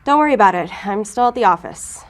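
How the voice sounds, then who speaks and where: A woman's voice: medium pitch, lively intonation, fast, natural voice. An actress playing a weary, guarded female federal agent in an audio drama, speaking in a curt, controlled way. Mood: businesslike.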